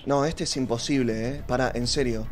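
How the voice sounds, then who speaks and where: A young man with a Rioplatense Argentine accent, chatting casually and excitably in slang while streaming a game. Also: medium pitch, normal speed, over loud music. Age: young adult. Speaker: streamer playing an online game with friends. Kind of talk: casual gaming chat. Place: small room at home. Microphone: close studio mic on an arm.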